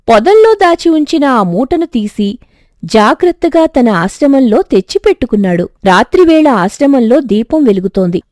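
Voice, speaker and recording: A woman reads a scripted story as narrator. Her voice sits high and moves with lively, expressive intonation. The sound is very dry. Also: no room sound.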